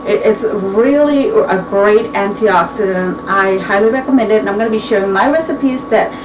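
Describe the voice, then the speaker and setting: A woman's voice: medium pitch, normal speed. A woman in her early forties chatting casually to camera in her home kitchen, rambling and restarting her sentences as she talks. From Mexican heritage. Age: 40s. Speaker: beauty vlogger. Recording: echoey room, distant mic, clear background noise.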